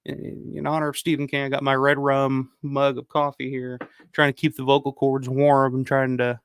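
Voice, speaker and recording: A man hosting a casual livestream, hoarse from a cold, rambling and swearing as he reads viewer comments. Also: mid-range voice, completely flat delivery, normal speed, no echo, studio quality. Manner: rambling, profane, frequent restarts. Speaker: livestream host.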